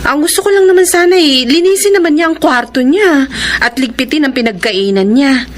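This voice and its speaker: An actress with a Filipino accent playing a weary, fussy young housewife in a radio drama, expressive and given to complaining. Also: female voice, high voice, a little fast, a little hiss or hum, close to an everyday voice. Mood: friendly.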